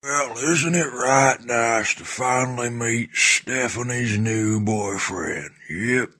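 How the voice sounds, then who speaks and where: A man playing a suspicious, condescending father sizing up a new boyfriend, in a slow and confrontational manner. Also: medium pitch, pushed and bright.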